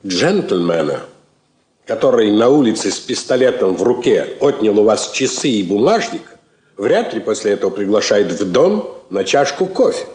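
An actor playing a sarcastic, aggrieved wealthy financier in a Soviet radio play. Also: male voice, lowish voice, sing-song, normal speed, a little mellow. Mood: sardonic, aggrieved. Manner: sarcastic, indignant. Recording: echoey old studio recording.

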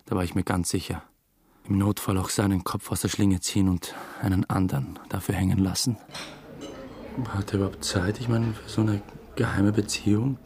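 A man's voice: deep voice, fairly even intonation, normal speed, gravelly, a little mellow, breathy edge, full character voice. An actor playing a diffident young theatre dramaturg who narrates his own story in a radio drama, dry and understated. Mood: brooding.

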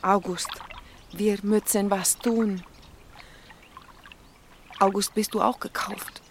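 A woman's voice: medium pitch, steady tone, slow, slight background noise, close to an everyday voice. A woman playing an anxious, earnest young woman in love in a German radio play, emotional in manner. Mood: anxious, pleading.

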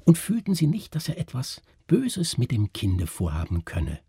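A man voicing an inquisitive, formal gentleman who questions his companion in a literary story. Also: medium pitch, wildly swooping pitch, normal speed, vocal fry, husky, no echo, clean studio sound, voice pushed up, heavily acted. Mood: probing.

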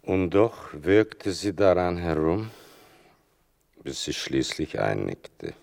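Older male narrator, rock-bottom pitch, creaky gravel, bitter irony, deliberate radio play delivery. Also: big pitch swings, darkish tone, full character voice. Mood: grave, restrained. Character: sardonic, mournful male narrator telling his mother's wartime story.

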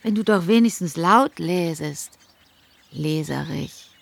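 A woman's voice: deep voice, slow pace, vocal fry, heavily acted. An actress playing a teasing, superior young woman in a radio play, with a mocking, playful manner. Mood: teasing impatience. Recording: outdoor birdsong and ambient noise. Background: birdsong, garden ambience.

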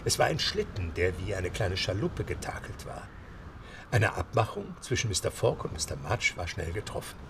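A man's voice: low voice, sing-song, moderate pace, background noise. A man narrating a scripted audio drama as storyteller.

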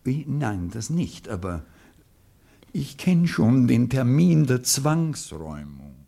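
Nervous, stammering government clerk. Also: male voice, mid-range voice, very animated, takes it slowly, vocal fry, mellow, heavily acted. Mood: earnest, apologetic. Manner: hesitant, stammering, over-polite. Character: nervous, apologetic, well-meaning male government clerk. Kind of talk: German radio play acting.

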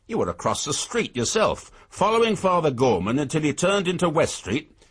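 An actor playing a shrewd, methodical British police inspector in a radio drama, speaking in a measured, formal way with a husky voice. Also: male voice, a little high, big pitch swings. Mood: accusing.

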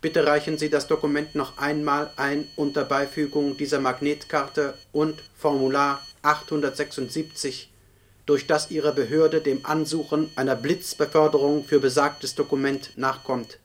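Monotone bureaucratic computer voice by a man. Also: on the high side, moderate pace, a little hiss or hum, lightly acted. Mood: impersonal, stern. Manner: monotone, officious, clipped. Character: emotionless bureaucratic computer reading out an official notice. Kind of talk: German radio play acting, official announcement.

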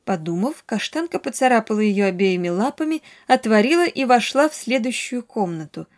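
A woman storyteller giving an expressive, dramatic narration of a children's story, with deliberate pauses. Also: medium pitch.

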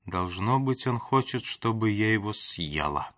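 A man voicing an annoyed mother wolf, musing quietly and grumbling to herself in a storybook tale. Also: fairly low pitch, expressive, a little slow, no room sound.

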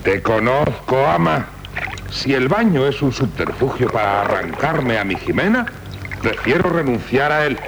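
A man's voice: medium pitch, big pitch swings, unhurried. An actor playing a hearty, doting warrior count and father in Spanish radio theatre, grandiloquent and affectionate, with a Castilian accent. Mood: playful. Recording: faintly noisy 1970s radio recording.

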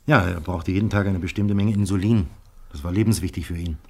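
A man acting in a 1970s German radio crime drama as a shrewd, courteous Dutch police inspector, formal and measured. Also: low voice. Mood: grave, measured.

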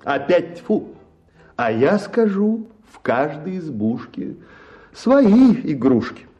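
A man's voice: a little high, sing-song, takes it slowly, warm tone, dull audio. Man doing a jovial sing-song bachelor.

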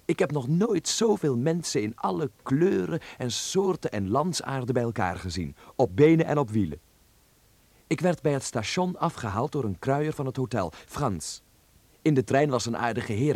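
An actor playing a wide-eyed twenty-one-year-old man, reading his letter home in an expressive, wry and affectionate way for a radio drama. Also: mid-range voice, husky, dry recording. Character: excited, wide-eyed young Dutch son abroad, twenty-one.

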